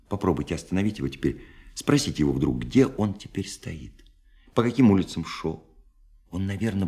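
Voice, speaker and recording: A lonely young dreamer in a literary radio play. His voice is deep and husky, and he pours out his heart in flowery, bookish phrases, broken by dramatic pauses. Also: a little mellow. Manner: ornate, bookish, impassioned speech with dramatic pauses.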